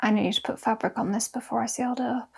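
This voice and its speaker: A woman's voice: fairly high pitch, steady tone, fast, vocal fry, heavy background noise. A woman chatting informally and self-deprecatingly as she narrates a craft vlog about her own making. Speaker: costume-making vlogger.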